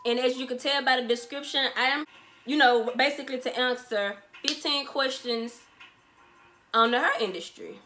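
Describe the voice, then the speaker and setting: Young woman, Memphis accent, high-pitched, bright and sharp, slangy and animated, small bedroom, faint hiss. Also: normal speed. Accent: Southern US, Memphis. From Memphis, Tennessee. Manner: animated, slangy, repeats herself for emphasis. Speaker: vlogger, small business owner. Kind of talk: casual Q&A vlog to camera. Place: bedroom, sitting on a bed, indoors.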